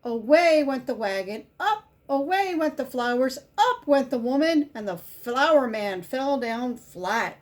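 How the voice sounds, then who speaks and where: Slow, high-voiced woman reading a storybook. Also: expressive. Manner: simple, deliberate storytelling with emphasized key words. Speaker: volunteer children's book reader. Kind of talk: expressive picture-book read-aloud.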